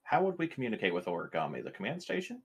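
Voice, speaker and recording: Animated man playing a tabletop game. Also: on the low side, very fast, breathy edge. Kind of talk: casual in-character game chat.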